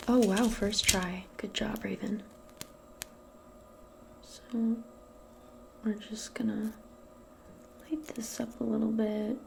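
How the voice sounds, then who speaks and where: Soft, whispery woman, slow ASMR role play. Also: mid-range voice, level tone, noisy background. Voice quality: soft, breathy, often dropping into a whisper. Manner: gentle, casual, hesitant chatter aimed closely at the listener. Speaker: ASMR performer role-playing as a modern witch.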